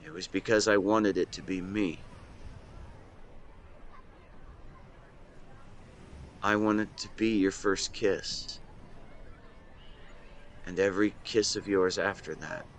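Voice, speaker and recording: Outdoor ambience sits faintly behind a lovestruck young man, as acted by a man with a lowish voice. He speaks earnestly and tenderly, in halting phrases, as in an intimate romantic audio drama. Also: steady tone, a little hiss or hum. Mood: pained, earnest. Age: young adult. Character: lovestruck 24-year-old man confessing love to his best friend. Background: seaside boardwalk ambience effects.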